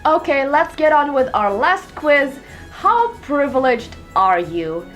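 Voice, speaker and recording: A woman's voice: high voice, very animated, slow pace, fairly bright tone, faint music behind. A young woman vlogging as she rants sarcastically and profanely through online quizzes. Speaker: YouTuber. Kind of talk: reacting to online quizzes to camera.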